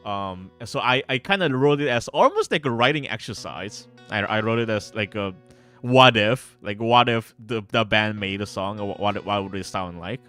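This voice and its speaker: A man's voice: fairly low pitch, normal speed, fairly bright tone. A man chatting casually on a livestream as a game developer, stammering and repeating his words. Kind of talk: casual livestream chat with some read-aloud narration. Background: guitar music.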